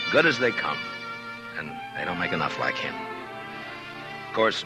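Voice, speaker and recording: Radio Western lead: easygoing marshal, low-pitched, animated inflection, folksy storyteller's delivery, vintage broadcast sound. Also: male voice, poor audio. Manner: unhurried, folksy, plain-spoken. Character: steady, easygoing frontier marshal telling his story. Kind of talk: 1950s radio Western acting and first-person narration. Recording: vintage 1950s radio drama recording.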